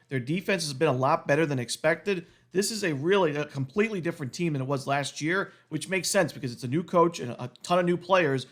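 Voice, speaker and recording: A man with a cold, his voice going a little, giving a quick solo rundown of sports betting picks packed with stats. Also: on the high side, a bit bright.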